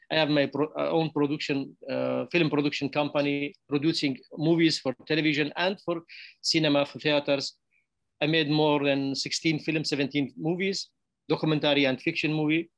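Halting man on a video call. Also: a little high, steady tone, creaky, a bit bright, dry recording, muffled recording. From Syria. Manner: halting, many restarts and repeated words. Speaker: film director. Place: bright room with plants.

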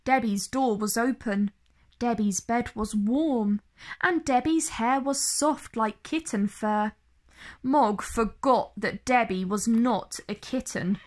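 A woman reading a children's story aloud in an expressive way, phrasing each line clearly and deliberately for young listeners. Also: fairly high pitch. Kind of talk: slow, expressive picture-book read-aloud.

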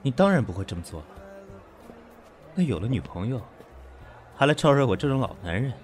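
An actor playing a composed, proud businessman in his thirties in a Chinese audio drama, measured, dry and cutting. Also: medium pitch, sing-song. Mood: cool, sarcastic. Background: background music.

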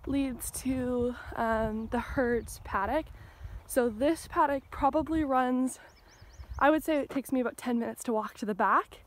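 A woman in her twenties gives a casual, chatty walking tour of a farm and barn, filmed on a phone held at arm's length. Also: mid-range voice. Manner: chatty, upbeat, points things out while walking. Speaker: vlogger giving a barn tour. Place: outdoors on a farm and inside a barn.

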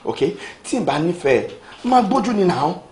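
A jealous, shouting young bully. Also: male voice, very high voice, expressive, fast, slight background noise, full character voice. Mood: pleading. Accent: Nigerian. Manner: shouting, insulting, domineering. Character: jealous, controlling young man who bullies.

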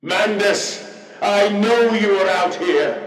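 A man voicing a fanatical, sinister machine intelligence in a video game, grandiose, mocking and preaching in a theatrical way. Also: slow pace. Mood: fervent, exultant. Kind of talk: dramatic video game voice acting. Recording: echoey, distant, booming space.

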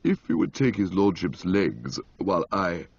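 A dignified male servant speaks low, slow and deferential, as a man reads him aloud. His voice is grainy and husky. The sound is muffled and dry, with no room around it. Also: sing-song, darkish tone, no echo, exaggerated voice. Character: grave, dignified male valet, unflappable. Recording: dull lo-fi, dry.

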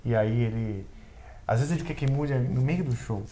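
A middle-aged Brazilian man talking as a guest on a couch, an animated storyteller who stumbles and repeats words. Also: mid-range voice, normal speed, slight background noise. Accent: Brazilian Portuguese. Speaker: guest musician. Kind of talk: casual interview conversation. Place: indoor living room set on a couch.

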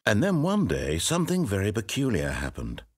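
Wry male game narrator, low, slightly husky, dry studio sound. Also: huge pitch swings, no room sound. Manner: measured, wry and theatrical, with sweeping pitch. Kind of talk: scripted narration. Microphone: studio microphone. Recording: dry close studio recording.

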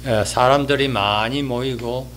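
Male columnist, 80s, mid-pitched, very slow and halting delivery of a reflective spoken column. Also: fairly even intonation, fairly bright tone, a little hiss or hum. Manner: halting, hesitant, with frequent drawn-out fillers. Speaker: newspaper-style columnist giving a talk. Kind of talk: reminiscing monologue column. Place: indoors, small office or studio, seated.

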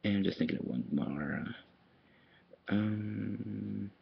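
A man's voice: fairly low pitch, slow, vocal fry, husky. A man speaking informally in asides during an amateur voice demo. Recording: muffled lo-fi home recording.

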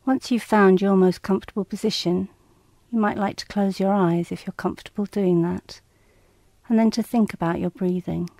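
A woman reading scripted guided relaxation instructions in a calm, measured, soothing and slightly clinical manner. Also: mid-range voice, vocal fry, dry recording.

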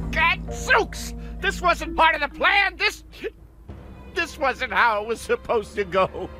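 A man's voice: extremely high pitch, lively intonation, slow, music in the background, exaggerated voice. A man voicing a small, mischievous, wisecracking imp in a video game cutscene, with theatrical exclamations. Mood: alarmed. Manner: theatrical exclamations and cheeky wordplay. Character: mischievous imp trickster from another dimension, small, excitable, wisecracking.

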